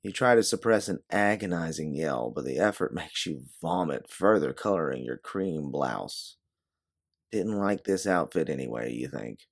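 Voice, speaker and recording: A man reading a scripted second-person story in a dramatic narrating style. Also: low voice. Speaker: narrator of an original audio story.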